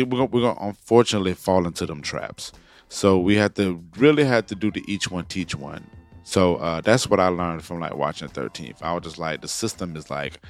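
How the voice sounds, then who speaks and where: Pria berusia empat puluhan dengan suara sangat rendah, mengobrol santai dan bertele-tele sebagai pembawa podcast, dengan musik samar di latar. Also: male voice, low voice, dry recording. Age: 40s. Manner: loose and rambling, with slang and restarts. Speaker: podcast host. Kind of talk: casual chat.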